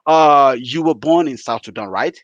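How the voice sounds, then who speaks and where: A man's voice: medium pitch, normal speed, no room sound, lo-fi sound. A man hosting an interview, asking winding questions with fillers. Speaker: host.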